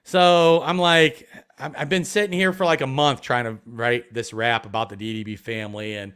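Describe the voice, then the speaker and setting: Excitable man from Ohio, rambling casual stream talk, many restarts. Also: medium pitch, moderate pace, smooth. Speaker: metal detecting YouTuber, guest. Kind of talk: casual live stream chat.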